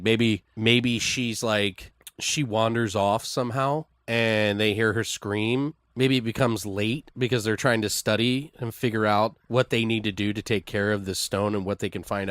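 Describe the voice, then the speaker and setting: A man hosting a podcast, casually making up a story aloud in an excitable, loosely profane way. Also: on the low side, dry recording. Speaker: podcast host. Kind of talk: casual improvised story brainstorming.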